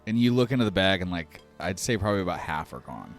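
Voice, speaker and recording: A man's voice: low-pitched, very animated, fast, faint music behind. A man narrating a tabletop roleplaying game as game master.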